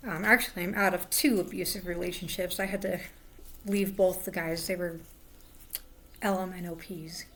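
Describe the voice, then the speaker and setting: Woman doing a crafting stream at home, voice kinda hoarse, rambly, drops to soft murmurs, bit of faint hiss behind. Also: lowish voice, steady tone, moderate pace. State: hoarse, lost voice. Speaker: craft livestream host. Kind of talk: casual crafting chat. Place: at home indoors.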